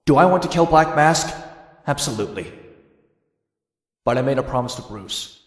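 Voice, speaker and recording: A man's voice: medium pitch, animated, moderate pace, reverberant, in a large hall, lightly acted. A man playing a wry, brash young antihero who narrates his own story in a motion comic, sardonic and conversational. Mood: reflective, determined. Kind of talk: first-person scripted narration in motion comic.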